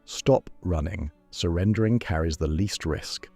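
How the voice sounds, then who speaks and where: A calm AI companion performed by a male narrator gives concise, analytical advice in a sci-fi story. The voice is deep, with faint music beneath. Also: unhurried, vocal fry, heavily acted.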